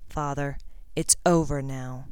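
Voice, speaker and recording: A woman's voice: a bass voice, steady tone, slow, a little mellow, clear, resonant tone, no echo, pitched below the performer's own voice. Woman playing an urgent, earnest teenage boy wizard. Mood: firm defiance.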